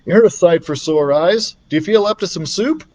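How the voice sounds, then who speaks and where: A man's voice: high voice, moderate pace, darkish tone, a little hiss or hum, natural voice. A man voicing a friendly, reassuring, easygoing man in a storybook. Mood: cheerful. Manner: cheerful, reassuring. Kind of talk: audiobook character voice.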